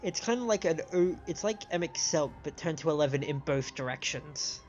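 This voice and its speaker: Chatty man with a lightly high voice. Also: expressive, normal speed, a little mellow, dull audio. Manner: chatty, explanatory. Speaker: game streamer. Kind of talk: casual live game commentary. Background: game music.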